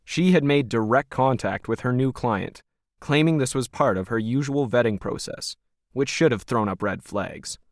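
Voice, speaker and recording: A man narrates a scripted science fiction story. His voice sits at a medium pitch, and the recording is dry with little room sound. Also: normal speed, no echo.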